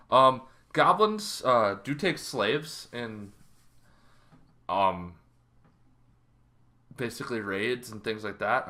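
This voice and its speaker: Male host, 30s, bright forceful tone, informal conversational explainer delivery. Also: medium pitch. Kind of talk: casual explainer on tabletop game monsters. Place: bedroom, indoors.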